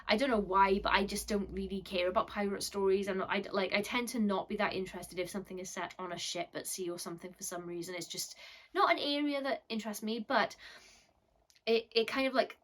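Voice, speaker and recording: A woman's voice: medium pitch, a little fast. A woman from Wales giving a casual, rambling book review with lots of fillers and a bashful, self-deprecating manner. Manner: chatty, rambling, many fillers.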